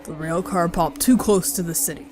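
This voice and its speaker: A woman's voice: fairly low pitch, normal speed, a little mellow, a little hiss or hum, close to an everyday voice. A woman voicing a male field agent in a horror radio play, matter-of-fact and placating. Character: calm, reasoning male field agent soothing his partner.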